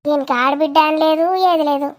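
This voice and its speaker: A woman's voice: high-pitched, little pitch movement, fast, close to an everyday voice. A woman voicing a nosy, grumbling village aunt in a cartoon comedy, with a Telangana Telugu accent and a blunt, gossipy way of talking. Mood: scornful, grumbling.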